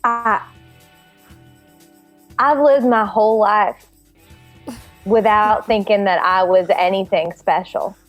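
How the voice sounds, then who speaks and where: A woman's voice: medium pitch, steady tone, slow pace, long pauses, faint music behind. A woman performing improvised comedy acting at a table read.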